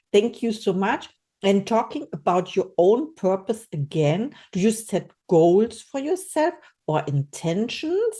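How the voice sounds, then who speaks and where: A woman's voice: mid-range voice, dark tone. A woman hosting a podcast interview, asking questions and praising her guest effusively. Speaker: podcast host.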